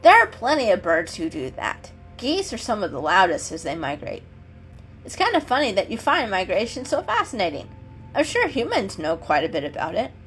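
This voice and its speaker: A woman's voice: medium pitch, huge pitch swings, normal speed, a bit bright, faint music behind. A woman voicing a friendly male robin in a storybook, explaining things in a chatty, emphatic way. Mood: friendly, knowing.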